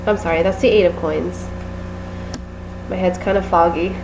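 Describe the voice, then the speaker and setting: A woman's voice: fairly low pitch, steady tone, moderate pace, noisy background. A woman with a sinus infection giving a casual tarot reading to camera, sounding stuffy and nasal, apologetic and chatty with many fillers. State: sick with a sinus infection, sniffly. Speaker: tarot reader.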